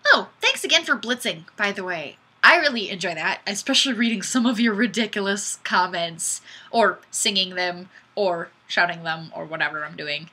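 A woman's voice: medium pitch, normal speed, few pauses, slightly rough. A woman in her twenties hosting a casual webcam livestream, chatting goofily and reading out viewer comments. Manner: bubbly and goofy, bursts of squealing excitement. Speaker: livestream host. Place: small indoor room, plain wall. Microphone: webcam mic.

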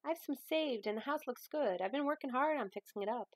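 A woman's voice: high voice, fairly quick, dry recording. A woman reading a novel aloud as a hurt, defensive woman of thirty-one, emotional and pleading.

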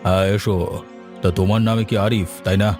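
A man's voice: low voice, fairly even intonation, moderate pace, a little mellow, soft background music, natural voice. A man playing a curt, condescending village headmaster in a horror-comedy cartoon, brusque and sceptical.

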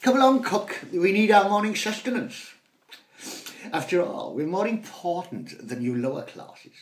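A snooty upper-class matriarch, plummy and bossy, her voice pitched high and swooping in lively arcs, as a man puts her on. She comes from a storybook comedy and sounds like she looks down on everyone. The sound rings in a roomy space. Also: a little slow. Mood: haughty. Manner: plummy, snobbish. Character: haughty posh upper-class mother, bossy. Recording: roomy echo.

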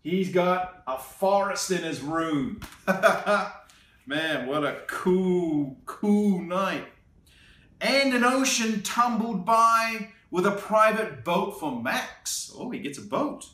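Guy reading a kids book out loud, slow and playful, kinda high voice, echoey room, camera a bit far off. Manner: slow and expressive, with playful asides and laughs. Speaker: storyteller reading a picture book aloud. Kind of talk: children's storytime read-aloud. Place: indoor room, seated in an armchair. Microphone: camera on a tripod a few feet away. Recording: roomy, slightly distant sound.

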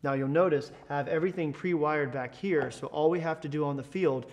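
A man's voice: fairly high pitch, fairly quick, a little mellow, lo-fi sound. An American man in his thirties giving an instructional product tutorial in an explanatory, promotional way. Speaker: presenter, product education manager. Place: large indoor school band room.

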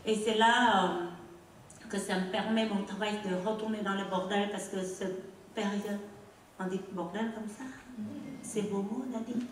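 A middle-aged woman from Cambodia, a native Khmer speaker with a Cambodian accent, giving a halting, emotional personal testimony on a theatre stage into a handheld mic. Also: mid-range voice, steady tone, slight background noise. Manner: halting, emotional, non-native phrasing, asks the audience "non?". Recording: echoey theatre from far off.

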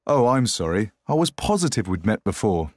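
Man acting a very animated, polite man. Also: on the low side, normal speed, no echo. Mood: apologetic. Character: polite, inquisitive man, apologetic when mistaken. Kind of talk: scripted textbook dialogue.

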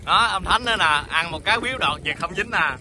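A man's voice: high voice, fairly quick. A man with a southern Vietnamese Mekong Delta accent, a fishing vlogger chatting casually and easily as he narrates from a wooden boat on a river. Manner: chatty, easygoing, excited bursts. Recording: outdoor river noise.

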